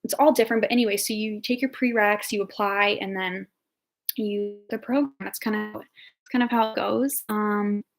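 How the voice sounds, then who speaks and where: A woman's voice: medium pitch, steady tone, moderate pace, creaky. A woman in her early twenties, a nursing student, chatting casually and answering viewer questions in a talkative, upbeat way with lots of fillers. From Ohio, United States. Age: 20s.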